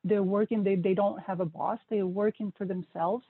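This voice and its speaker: A woman who learned English as an adult, a guest answering interview questions in a relaxed way, laughing easily and pausing to think. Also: medium pitch, slightly rough, no room sound. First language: not English. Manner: relaxed, laughing, thoughtful pauses. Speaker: podcast guest, investor. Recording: dry thin phone-like audio.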